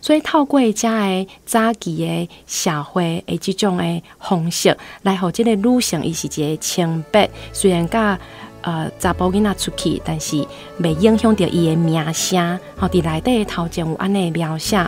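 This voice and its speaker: A woman's voice: fairly low pitch, moderate pace, a little mellow, soft background music. A woman with a Taiwanese accent giving explanatory literary commentary as a guest professor, using frequent tag particles.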